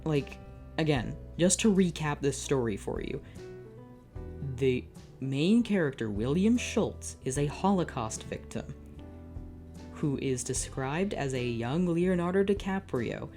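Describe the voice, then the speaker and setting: A woman's voice: low voice, expressive, unhurried, dark tone, faint music behind. A young woman reading a fan fiction aloud and breaking off into exasperated, sarcastic comments. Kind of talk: dramatic reading with comic asides.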